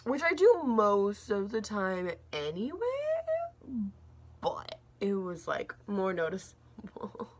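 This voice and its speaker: A young woman reviewing a book in a casual, rambling vlog, her throat a little scratchy and gravelly, with lots of fillers and restarts. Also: mid-range voice, animated, takes it slowly. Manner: rambling, says like a lot, restarts often.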